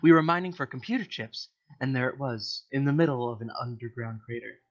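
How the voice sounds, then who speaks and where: A man's voice: mid-range voice, a little fast, lightly acted. Man acting out emphatic, clingy older man. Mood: eager, pushy. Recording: dull lo-fi voice chat audio.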